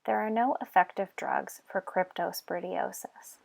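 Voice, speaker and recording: A woman in her thirties from Texas with an American accent, telling her own story as a researcher in steady, measured scripted narration. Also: medium pitch, vocal fry. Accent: American, Texan. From Texas, United States. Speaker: lab group leader, researcher.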